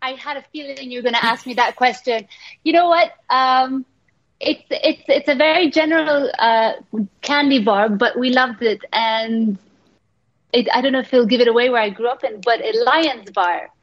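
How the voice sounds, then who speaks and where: Woman from england chatting on the radio, higher voice, lots of ums and restarts, muffled line. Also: normal speed. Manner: chatty, warm storytelling with many fillers and restarts. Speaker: radio guest. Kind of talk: casual radio chat.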